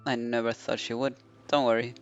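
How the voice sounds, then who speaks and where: Guy streamer chatting over a game, pretty even tone, slightly dull mic, music behind. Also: mid-range voice, a little fast. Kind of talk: casual game commentary.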